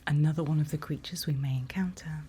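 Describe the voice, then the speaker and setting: Female, low soft whisper for ASMR roleplay; slow, reassuring guide, faint ambient noise. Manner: gentle, unhurried, reassuring instruction. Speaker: ASMR roleplay performer playing a night watch guide.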